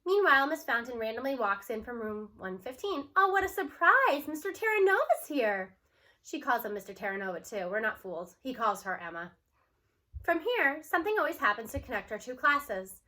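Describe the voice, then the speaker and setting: Female children's audiobook reader: high pitch, bright tone, expressive first-person narration. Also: a little fast. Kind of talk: expressive read-aloud of a children's novel in a student's first-person voice.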